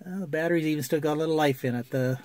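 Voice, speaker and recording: A man's voice: a little high, rapid-fire, darkish tone, a little hiss or hum. A man filming his old car on a handheld phone, describing it in a plain, matter-of-fact way with many fillers, outdoors and inside the car. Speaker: car owner showing an old car. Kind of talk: casual walkaround video. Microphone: handheld phone camera.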